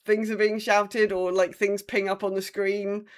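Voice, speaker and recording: A woman's voice: medium pitch, little pitch movement, unhurried. A woman co-hosting a podcast, chatting casually about gaming in a blunt, sweary way. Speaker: podcast co-host. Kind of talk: casual chat about an online game.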